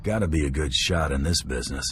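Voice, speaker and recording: An actor playing a curt, no-nonsense male bounty hunter with a deep, gravelly voice in a video game. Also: fast talker, a bit bright, a little hiss or hum. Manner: curt, cool, intimidating. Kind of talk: video game cutscene acting.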